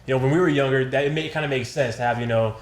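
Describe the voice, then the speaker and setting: A young American man chatting casually on a podcast, slangy and animated, often repeating his phrases. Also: mid-range voice, racing. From Detroit and Tennessee, United States. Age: young adult. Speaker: podcast guest. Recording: roomy, echoing sound.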